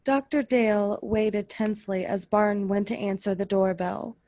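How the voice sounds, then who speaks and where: A woman's voice: medium pitch, fairly even intonation, normal speed, mellow, no room sound. Woman narrating a radio play, dry sound.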